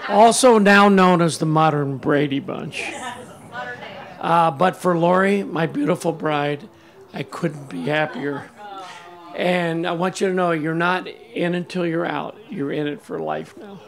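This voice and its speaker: A man's voice: fairly high pitch, a little slow, gravelly, crowd noise. A man in his sixties, the groom, giving a wedding toast with thanks and wry asides. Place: candlelit tent at a dinner party. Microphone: handheld mic.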